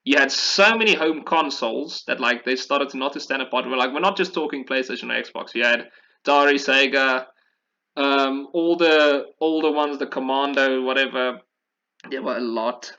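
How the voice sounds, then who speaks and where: A man's voice: medium pitch, normal speed, sharp tone, lo-fi sound. A man hosting a casual discussion, explaining things in a rambling, informal way. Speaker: podcast host. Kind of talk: casual video game history discussion.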